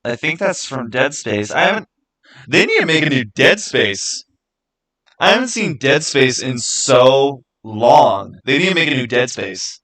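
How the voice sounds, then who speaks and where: A man with a bright, forceful voice at an average pitch hosts a casual livestream. He talks informally and with plenty of opinion, halting and circling back over his own phrases as he responds to viewers. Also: sing-song. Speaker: livestream host. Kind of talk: casual livestream chat answering viewer comments.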